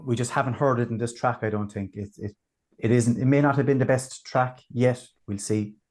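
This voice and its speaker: A man hosting a casual music review chat, talking in a relaxed, chatty way with plenty of fillers. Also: mid-range voice, a little fast. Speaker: podcast host.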